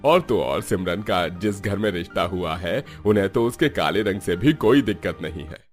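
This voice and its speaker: Caring cartoon dad played by a man: middle-aged, low-pitched, earnest and proud. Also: expressive, normal speed, faint music behind. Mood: happy. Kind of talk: Hindi cartoon story acting.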